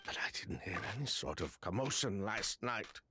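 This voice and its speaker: A man's voice: on the low side, lively intonation, background noise, close to an everyday voice. A man playing an anxious, defensive game character who stammers and halts as he talks. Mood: uneasy, halting. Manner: halting, stammering. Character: anxious, defensive man hiding a family secret. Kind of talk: video game voice acting.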